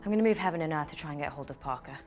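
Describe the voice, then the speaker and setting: An actress playing a brisk, composed official on a call, formal and measured, with music underneath. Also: female voice, low voice, natural voice. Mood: composed, serious. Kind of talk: naturalistic film acting.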